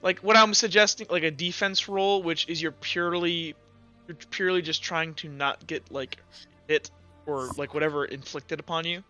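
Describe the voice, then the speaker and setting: A man running a tabletop roleplaying game, explaining rules informally and haltingly as game master. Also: on the high side, fairly even intonation, bright, forceful tone, dull audio, faint music behind.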